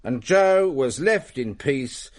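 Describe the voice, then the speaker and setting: A man playing a prim, excitable Victorian English gentleman in a radio drama, telling tales in formal, theatrical phrases. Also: medium pitch, slow pace. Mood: relishing the tale. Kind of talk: period radio drama storytelling.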